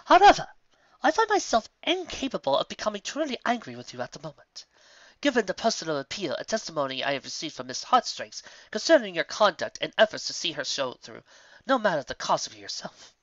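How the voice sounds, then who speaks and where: A man voicing a stern, regal female pony mentor reading out a letter in formal, measured phrases. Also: sits high, huge pitch swings, a bit bright, no room sound, lo-fi sound, voice pushed up, exaggerated voice. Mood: stern but forgiving.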